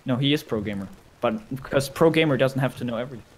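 A man's voice: mid-range voice, quick pace. A man chatting casually and swearing freely while playing a game with friends. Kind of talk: casual gaming chat. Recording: faint background noise on gaming voice chat.

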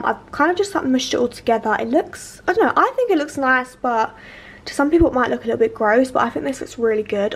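A woman in her twenties chatting excitedly to camera in a casual home cooking vlog, full of fillers. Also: on the high side. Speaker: vlogger. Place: home kitchen and living room, indoors.

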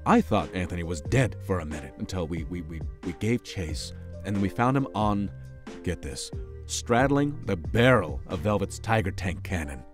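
A man acting a hot-tempered, guilt-ridden gangster brother in an audio drama, speaking haltingly and repeating words. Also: low voice, expressive, music in the background. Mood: remorseful.

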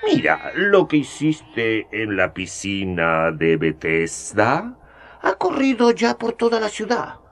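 An actor playing a scheming older magistrate with a gray beard, who talks smoothly and chuckles often in a radio drama. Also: male voice, medium pitch, huge pitch swings, slow, heavily acted. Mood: sly.

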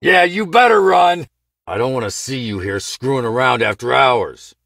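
An actor playing an angry, suspicious, bullying enforcer in a video game, with a rough, gravelly voice. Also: male voice, mid-range voice, animated, normal speed, a bit bright, no room sound, clean studio sound.

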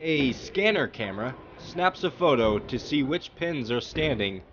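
A man giving a plain, measured voice-over that explains how a machine works. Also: mid-range voice, expressive, a bit bright. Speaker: narrator. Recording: dull lo-fi sound with clear background noise.

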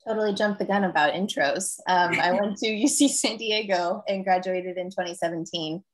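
A woman's voice: on the low side, moderate pace, poor audio. Friendly young woman on a video call. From Northern California. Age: young adult. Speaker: student committee co-chair.

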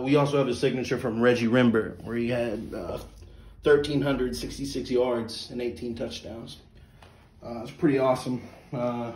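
A man's voice: mid-range voice, a little mellow, slight background noise. A young man from West Virginia giving an enthusiastic, rambling tour of his sports fan room at home, filmed on a handheld phone. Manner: enthusiastic, rambling, many fillers. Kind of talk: casual home tour vlog. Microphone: handheld phone camera. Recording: echoey room, faint background ambience.

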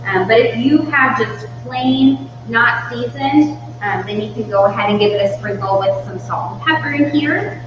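A woman's voice: a little high, moderate pace. A woman in her thirties giving an informal, step-by-step cooking demonstration as the host of a live class. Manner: informal, instructional, explains step by step. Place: indoor demonstration kitchen. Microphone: far from the speaker. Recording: distant mic, very echoey room, muffled, hum.

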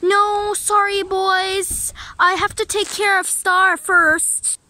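A sweet horse-loving girl with a very high, bright voice, slow and patient as she gently tells the boys off, as a woman puts her on. A toy-play voice, full of care. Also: level tone, full character voice.

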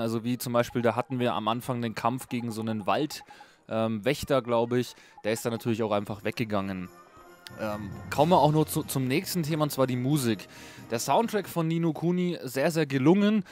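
A man's voice: medium pitch, a little mellow. A man hosting a casual, unscripted video game review in a chatty, informal way. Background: music under a voice-over.

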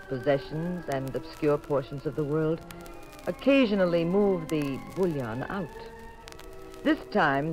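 An actress playing a wry, brisk detective's wife in a 1950s radio mystery. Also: female voice, low voice, rough recording. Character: Jean Abbott, sharp-witted detective's wife and storyteller. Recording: old radio recording.

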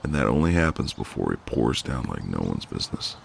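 A man playing a flirty, cocky but gentle ex-marine neighbour in an intimate ASMR roleplay, teasing in a folksy way. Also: rumbling low, very animated, fairly quick, vocal fry, background noise, heavily acted.

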